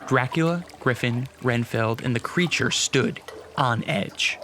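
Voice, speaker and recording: A man narrating a scripted radio drama in a measured storytelling manner. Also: mid-range voice, fairly bright tone, a little hiss or hum.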